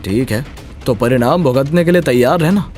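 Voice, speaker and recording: A man voicing a cruel, taunting gangster boss in an animated moral story, with a threatening, mocking manner. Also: medium pitch, normal speed, soft background music, pitched below the performer's own voice, natural voice.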